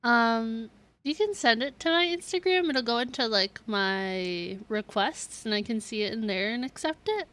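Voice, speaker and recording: A woman with a fairly high voice livestreams a game. She chats in an informal, reactive way, dropping short casual comments as she goes. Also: lively intonation, normal speed, fairly bright tone. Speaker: game streamer.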